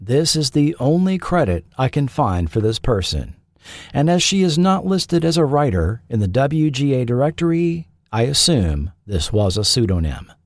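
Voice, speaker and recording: A man hosting a show, reading scripted TV history narration in a measured, deliberate way with pauses between phrases. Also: mid-range voice, very animated, no room sound, clean studio sound. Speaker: podcast host and narrator.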